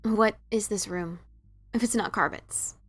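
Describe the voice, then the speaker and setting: A woman's voice: medium pitch, measured pace, a bit bright, husky, faint music behind. A woman voicing a brash, skeptical pony adventurer, blunt and informal, in a fan fiction dramatic reading. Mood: hesitant, curious.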